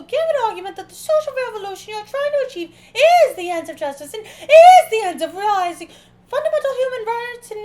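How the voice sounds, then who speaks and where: Very high, squeaky excitable philosopher. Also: female voice, slight background noise. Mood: insistent. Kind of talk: dramatic reading of a debate transcript.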